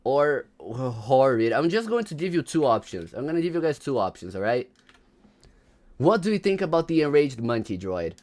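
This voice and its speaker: Animated young streamer, lively pitch. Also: male voice, moderate pace. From Brazil. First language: Portuguese. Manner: animated, slangy, chats with viewers. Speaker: livestream host. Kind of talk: casual livestream commentary.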